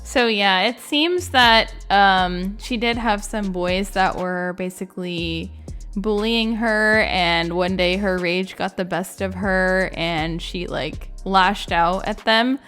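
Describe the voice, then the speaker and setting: A woman's voice: medium pitch, slow pace, fairly bright tone, clear, resonant tone, faint music behind. A woman giving casual, informal reaction commentary on video clips, rambling and leaning on filler words like "like". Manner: informal, rambling, heavy use of "like". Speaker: gaming video creator.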